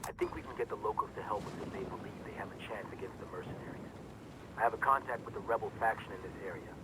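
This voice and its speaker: Actor as fast-talking special forces coordinator. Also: male voice, fairly low pitch, background noise, natural voice. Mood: focused. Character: businesslike male special forces mission coordinator. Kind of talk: video game mission briefing.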